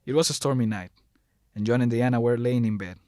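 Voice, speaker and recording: A man's voice: mid-range voice, brisk, warm tone, no echo. A man narrating a scripted horror story as the narrator, recorded close to the mic.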